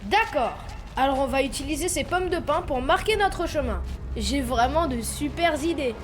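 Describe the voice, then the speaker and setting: Very high-pitched young tiger cub. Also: female voice, animated, normal speed. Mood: proud. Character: young tiger cub, grumbling but loyal sidekick. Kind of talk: French cartoon dub. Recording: music behind the voice.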